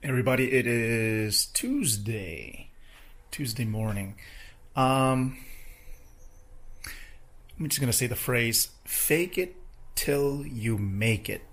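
A man with a hoarse voice talking casually in a vlog, rambling between thoughts. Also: mid-range voice, takes it slowly, long pauses, a bit bright. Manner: rambling, with fillers. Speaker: vlogger.

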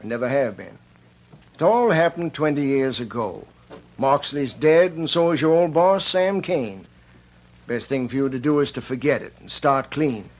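An actor playing an earnest, fair-minded prison warden in an old Western radio drama, speaking in a deliberate, official way. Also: male voice, mid-range voice, animated, lightly acted. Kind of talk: 1940s-1950s Western radio drama acting. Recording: dull lo-fi old radio recording.

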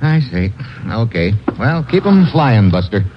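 An actor playing a wry, tough American secret agent in a hard-boiled old radio drama, clipped and world-weary. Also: male voice, mid-range voice, big pitch swings, unhurried, slight background noise. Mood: dry, casual. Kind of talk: hard-boiled 1940s-50s radio narration and acting. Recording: muffled old radio recording, loud music.

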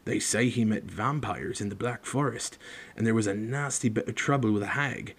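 A man's voice: fairly low pitch, moderate pace, slightly rough. A man voicing a huge, good-natured man with blunt, folksy talk and colloquial grammar, for a storybook. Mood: chatty, fond.

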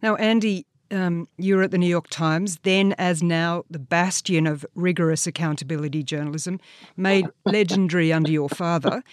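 An interviewer asking measured, concise questions. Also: medium pitch, steady tone, clear, resonant tone, no echo.

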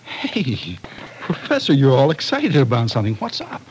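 An actor playing an easygoing young family man in an old radio drama, talking in a casual, halting, folksy way. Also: mid-range voice, wildly swooping pitch, darkish tone, a little hiss or hum, rough recording. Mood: puzzled.

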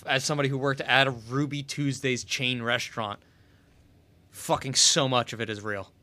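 Bright-voiced man in casual chat. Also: medium pitch, no room sound. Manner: animated, swears freely, fast asides.

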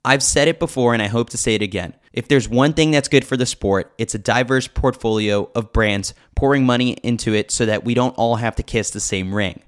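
A man's voice: medium pitch, a little fast, few pauses. Wry man narrating sports news. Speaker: podcast host reading a sports newsletter. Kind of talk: scripted narration with wry commentary.

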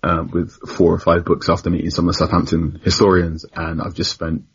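A man's voice: deep voice, level tone, fairly quick, breathy edge, muffled recording. A young man, a journalist guest telling stories animatedly on a podcast, laughing often and acting out quotes. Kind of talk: casual podcast conversation.

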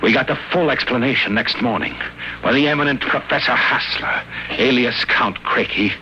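A man's voice: medium pitch, moderate pace, a little hiss or hum, natural voice, poor audio. An actor playing a captive man who narrates his ordeal in earnest, tense storytelling, in a 1940s-style radio drama. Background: loud music.

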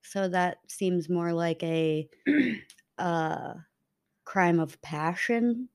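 Slow-talking young woman. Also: fairly low pitch, clear, resonant tone. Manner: questioning, interjects. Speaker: podcast co-host. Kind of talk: casual chat.

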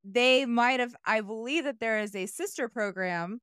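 A young woman hosting a casual podcast chat, swearing freely, excitable and quick to apologize. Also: on the high side, smooth, no echo, studio quality. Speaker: podcast host presenting research.

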